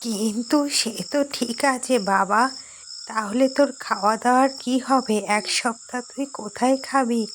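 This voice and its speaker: High-voiced worried mother played by a woman. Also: normal speed, close to an everyday voice. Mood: caring, concerned. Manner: anxious, motherly cautioning. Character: worried, caring middle-aged mother of a grown son. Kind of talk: Bengali horror cartoon dialogue. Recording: faint noise with chirping crickets.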